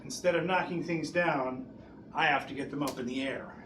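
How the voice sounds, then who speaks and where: A man's voice: fairly high pitch, normal speed, fairly bright tone. A middle-aged man hosting as a juggler, with theatrical showman patter addressed to an audience. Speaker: juggler and event host. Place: small bare indoor room. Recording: echoey room with background noise.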